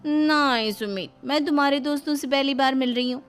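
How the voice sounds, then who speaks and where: A woman's voice: sits high, brisk, faint music behind, close to an everyday voice. A woman voicing a boastful, stubborn young daughter-in-law in a theatrical cartoon story style. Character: swaggering, stubborn young daughter-in-law obsessed with a film hero. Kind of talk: Hindi cartoon story voice acting.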